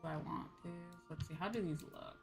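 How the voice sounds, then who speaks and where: A woman with a slightly low-pitched voice talks casually as she plays a game on a livestream. She thinks aloud in an informal, chatty way, full of little filler phrases like "you know". Music plays clearly behind her. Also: a little fast, darkish tone. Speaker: streamer playing an online game.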